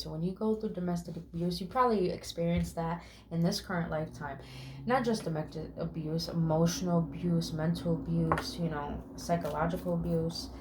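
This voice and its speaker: A woman with a somewhat low voice reads tarot in a relaxed, chatty way, reeling off card meanings and murmuring "mm" as she goes. She affirms her listeners and swears now and then. A faint hiss of noise sits under her voice. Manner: drawn-out words with murmured "mm". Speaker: tarot reader. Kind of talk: casual spiritual tarot reading.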